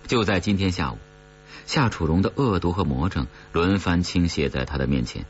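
Very deep-voiced man narrating suspense. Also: moderate pace, lo-fi sound, slight background noise. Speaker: audiobook narrator. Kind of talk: scripted narration of a horror-suspense novel.

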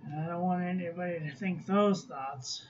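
A man chatting casually while swatching ink at his desk, phlegmy and clearing his throat, with self-mocking asides. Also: sits high, moderate pace. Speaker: ink reviewer. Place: small room at a desk. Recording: dull lo-fi audio with faint room noise.

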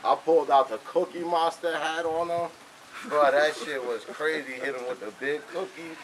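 A young man telling boastful, animated street stories in casual, profane slang. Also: on the high side, normal speed, a crowd in the background, poor audio. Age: young adult. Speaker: storyteller. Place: outdoors on a covered house porch. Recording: rain and outdoor ambient noise.

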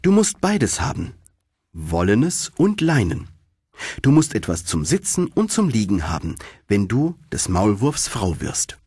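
A man's voice: lowish voice, wildly swooping pitch, measured pace, darkish tone, slightly rough, no echo, studio quality, full character voice. A man voicing a bossy old female field mouse in a fairy tale, stern and nagging.

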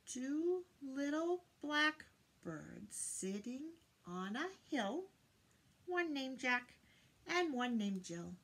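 High, animated older woman reading to children. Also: drawn-out words, husky. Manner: sing-song, questioning, addressing small children. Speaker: read-aloud teacher for young children. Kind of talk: children's read-aloud and teaching. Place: small indoor room lined with bookshelves.

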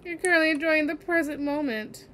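A woman's voice: sits high, brisk, pushed and bright. A woman game streamer giving casual let's play commentary and reading dialogue aloud. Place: indoor streaming setup. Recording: faint background game noise.

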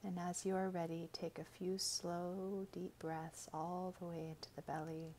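A woman reading a scripted guided meditation in a gentle, soothing, measured way, with soft, breathy phrase endings. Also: lowish voice, little pitch movement, a little hiss or hum.

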